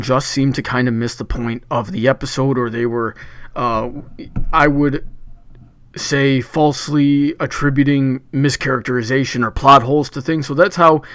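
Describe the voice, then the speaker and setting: A man's voice: mid-range voice, a bit bright, smooth, no room sound. A man hosting a live debate stream in a chatty, upbeat way, with frequent filler restarts. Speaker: debate livestream host. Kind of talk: casual hosting chat.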